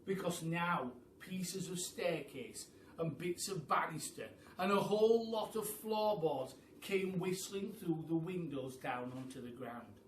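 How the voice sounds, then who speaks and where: A man's voice: on the high side, expressive. Man reading a story in an echoey room. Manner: theatrical, with dramatic pauses and emphasis. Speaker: teacher reading a children's story aloud. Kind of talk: animated storytime reading in a child narrator's voice. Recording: roomy, distant mic with faint background noise.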